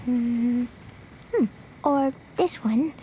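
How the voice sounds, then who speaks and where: A woman's voice: on the high side, very slow, dark tone, slight background noise, rough recording. A woman voicing a bubbly, innocent little girl adventurer in an anime fantasy game, childlike, eager and naive. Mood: searching, thoughtful.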